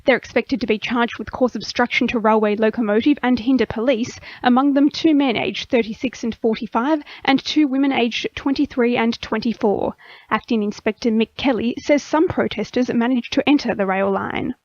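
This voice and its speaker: A woman's voice: fairly high pitch, normal speed, dull audio. An Australian woman reading a scripted radio news bulletin as the station's news reader. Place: dry radio studio.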